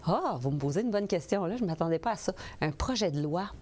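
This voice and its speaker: A woman's voice: on the low side, animated, fast talker, darkish tone, slight background noise. A woman in her forties from the Portneuf region with a Québécois accent, answering interview questions as a political candidate, earnest with frequent hesitations. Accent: Québécois French. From Portneuf region, Quebec. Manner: earnest, with many hesitations and restarts.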